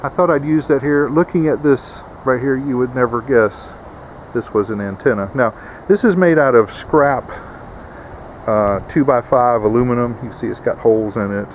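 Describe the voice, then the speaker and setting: A man's voice: medium pitch, moderate pace, a little hiss or hum, low-quality recording. A man giving a casual, plainspoken instructional vlog in a folksy way, like a host showing viewers his homemade setup. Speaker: host of homesteading video channel.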